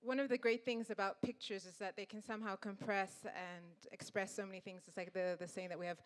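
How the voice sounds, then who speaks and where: Woman giving a talk in a hall, thoughtful, smooth clear voice, lots of reverb. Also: medium pitch, fairly even intonation. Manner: reflective, with pauses and restarts. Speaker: guest speaker. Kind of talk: public talk.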